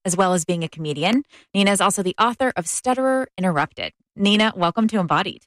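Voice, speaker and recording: A woman's voice: mid-range voice, animated, fast, a bit bright, no room sound, poor audio. An American woman hosting a public radio interview, speaking in a polished, articulate way. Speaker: radio show host.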